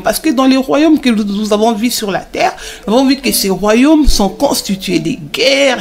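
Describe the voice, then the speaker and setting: A woman playing a devout mother in a religious children's cartoon, teaching in an encouraging way and repeating phrases for emphasis. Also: fairly high pitch. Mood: emphatic, hopeful.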